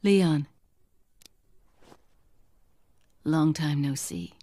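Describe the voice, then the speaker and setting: An actress playing a cool, enigmatic young female spy in a video game cutscene, guarded, teasing and measured in manner. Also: deep voice. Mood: cool, commanding. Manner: measured, coolly detached. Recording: loud music and effects behind.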